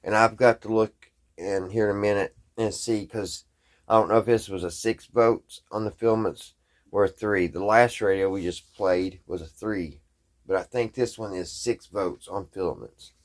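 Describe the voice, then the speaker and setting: A man giving a casual hands-on demonstration to camera as a hobbyist presenter, talking in a folksy, halting way as he thinks aloud. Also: lowish voice, steady tone.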